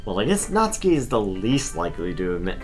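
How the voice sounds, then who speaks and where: A man's voice: lowish voice, big pitch swings, quick pace, soft background music. A man playing a wry, caring, self-deprecating teenage boy in a visual novel, speaking casually and expressively. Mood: awkward, earnest. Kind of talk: visual novel dialogue and first-person narration.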